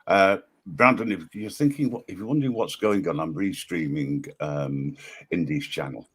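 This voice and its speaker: Deep-voiced male host, halting, restart-heavy delivery, very low register. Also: a bit bright, slightly rough. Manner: hesitant, many ums and restarts. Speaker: livestream host. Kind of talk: casual livestream chat.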